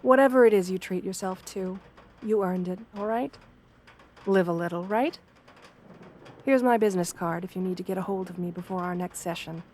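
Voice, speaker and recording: Soft-spoken, reassuring wolf girl therapist played by a woman. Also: lowish voice, natural voice. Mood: caring, reassuring. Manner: gentle, reassuring, measured counselling talk. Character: kind, professional wolf girl therapist, encouraging and patient. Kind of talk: soft-spoken ASMR roleplay.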